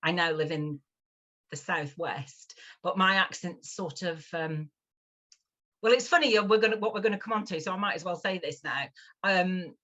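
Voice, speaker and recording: Northern english lady, 50s, lowish voice, chatty with lots of ums, kinda dull lo-fi sound. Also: moderate pace, fairly bright tone. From northwest England. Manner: chatty, with many fillers and restarts. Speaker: guest. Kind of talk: casual interview chat.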